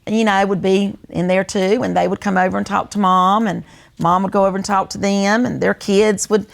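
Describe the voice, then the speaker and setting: Woman, sixties, North Carolina Southern accent, plenty of vocal fry, casual storytelling co-host. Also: mid-range voice, level tone, normal speed. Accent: North Carolina Southern American. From North Carolina, United States.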